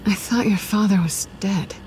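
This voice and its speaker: A woman's voice: medium pitch, moderate pace, close to an everyday voice. An actress playing a cool, wry female accomplice in a video game. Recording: noticeable background noise.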